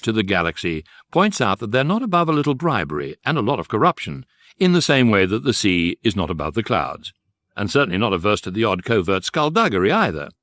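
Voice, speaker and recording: An actor playing a wry, erudite guidebook narrator in a sci-fi radio comedy. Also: male voice, on the low side, brisk, no room sound. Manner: wry, deadpan irony.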